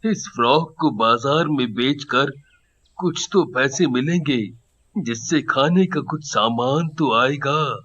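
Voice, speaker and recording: A man playing a poor, kindly tailor and father in a cartoon, with a husky, measured delivery. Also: medium pitch, a bit bright, dull audio. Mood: resigned. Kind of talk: Hindi cartoon story dialogue.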